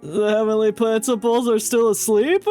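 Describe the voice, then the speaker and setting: A woman's voice: on the high side, steady tone, moderate pace, mellow. A woman streaming a game, giving casual, playful and emotive live reactions to the story. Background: game music.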